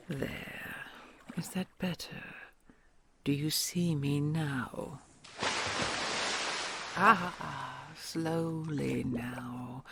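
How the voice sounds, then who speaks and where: A woman voicing a kind, ancient queen dragon who whispers softly and gently, amused and patient, in an audio roleplay. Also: low voice, heavily acted. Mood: gentle. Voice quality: breathy whispery, gravelly. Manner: slow soothing, amused, patient.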